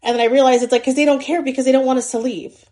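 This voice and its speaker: A woman speaks in a fairly high voice in a casual social media video. She sounds anxious and urgent, and her phrasing is loose and full of fillers. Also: steady tone, rapid-fire, dark tone.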